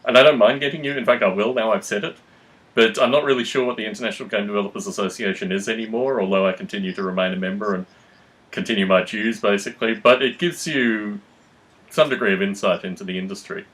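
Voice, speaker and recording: A man talking reflectively in conversation, measured, analytical and articulate. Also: on the low side, fairly bright tone, low-quality recording. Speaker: podcast host.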